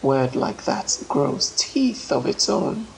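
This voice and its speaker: A woman narrating a folktale dramatically, with measured, emphatic phrasing and deliberate pauses. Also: sing-song, gravelly, a little hiss or hum, poor audio. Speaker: storyteller. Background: ambient marimba, xylophone and low nature sounds.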